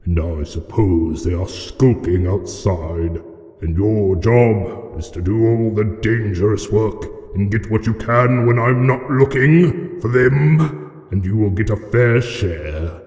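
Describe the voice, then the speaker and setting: A man's voice: lowish voice, takes it slowly, darkish tone. A man playing a huge old male dragon, menacing, boastful and cunning, with grandiose, taunting theatrical delivery in a fantasy audio drama. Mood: sly taunting. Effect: added cavernous reverb. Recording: cavernous echo and long reverb effect.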